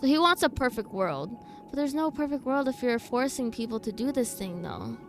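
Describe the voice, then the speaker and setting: A woman's voice: high voice, very animated, normal speed. A woman streaming a game, giving casual, informal reaction commentary as she thinks aloud in a skeptical way.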